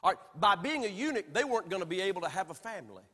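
Southern preacher with a high voice. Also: male voice, big pitch swings, rapid-fire, slightly rough, big-room sound. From Alabama. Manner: emphatic preaching with sudden swings between loud and soft. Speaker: pastor. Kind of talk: sermon. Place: church sanctuary.

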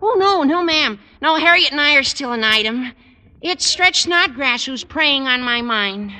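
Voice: sits high, lively intonation, bright, forceful tone, full character voice. An eager, fretful teenage schoolboy. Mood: worried. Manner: eager, rapid, fretful chatter. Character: excitable teenage boy student, chatty and earnest. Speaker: high school student driving his teacher. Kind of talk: 1950s radio sitcom acting.

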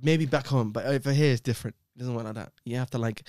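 Fast-talking joking young man. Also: mid-range voice, darkish tone, dry recording, clean studio sound. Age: 20s. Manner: quick, joking, laughs often. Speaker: podcast host. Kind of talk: comedic casual chat.

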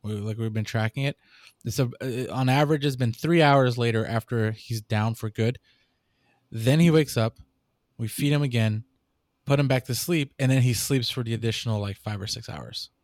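Young new dad, podcast host, mid-pitched, rambling and animated in his telling, close and dry mic. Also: normal speed, no echo. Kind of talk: casual chat.

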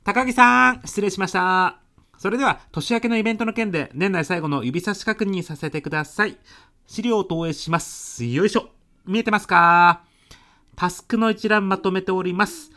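A woman's voice: mid-range voice, moderate pace, fairly bright tone, natural voice. A woman playing a polite, deferential young salaryman son-in-law in a comic radio drama, with a hesitant businesslike manner. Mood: businesslike, courteous.

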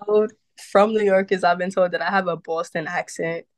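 Chatty young New woman, low voice. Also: fairly even intonation, moderate pace, no echo. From Brooklyn, New York. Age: young adult. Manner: chatty, upbeat, with many "like" and "you know" fillers. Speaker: podcast guest, model and event host. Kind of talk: casual interview chat.

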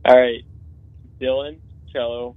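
A man's voice: medium pitch, little pitch movement, unhurried. An eighteen-year-old man from Rhode Island calling in by phone for a casual chat. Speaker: caller. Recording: tinny phone line.

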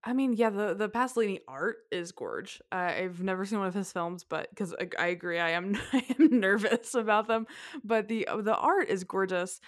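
A woman's voice: mid-range voice, moderate pace, dry recording. Chatty woman film podcaster, dry close mic. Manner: chatty, laughs often, trails off and restarts. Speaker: podcast co-host. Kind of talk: casual film podcast chat.

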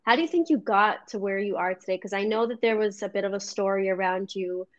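A woman's voice: mid-range voice, fast talker, no echo. A woman hosting a podcast who asks long, chatty questions and peppers them with "like.". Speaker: podcast host. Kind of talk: casual interview chat. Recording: dull, dry, lo-fi sound.

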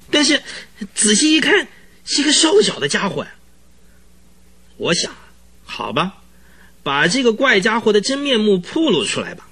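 Radio drama casting: easily spooked, chattering horse trainer, bright husky voice, dramatic storyteller. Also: male voice, high voice, heavily acted. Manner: rambling, dramatic storytelling full of interjections. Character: excitable, rambling, easily frightened male horse trainer witness.

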